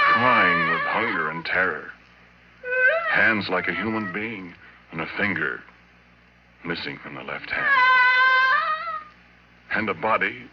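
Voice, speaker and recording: Haunted, very deep-voiced oilfield man. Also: full character voice. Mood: horrified awe. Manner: halting, intimate, brooding delivery. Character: haunted middle-aged oilfield worker confessing a horror, obsessed. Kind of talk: 1940s radio drama monologue. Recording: muffled, noisy old radio recording.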